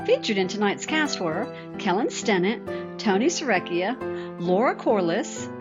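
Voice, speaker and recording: A woman's voice: medium pitch, sing-song, a little slow, muffled recording. A woman reading scripted programme credits as an announcer. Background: loud music.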